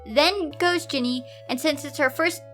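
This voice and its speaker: Bright, high-voiced animated woman. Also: a little slow, faint music behind. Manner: animated, exasperated asides. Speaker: video host reading fan fiction aloud. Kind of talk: informal story read-aloud with side commentary.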